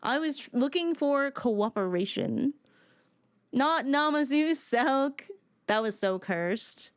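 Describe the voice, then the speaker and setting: A woman's voice: high-pitched, animated, takes it slowly. A woman game livestreamer chatting informally and casually with her viewers.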